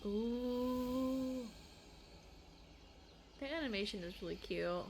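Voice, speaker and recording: Girl streamer doing casual game commentary, high cutesy voice, slow-ish, faint noise behind. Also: darkish tone. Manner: cutesy, chatty, reacting aloud to the game.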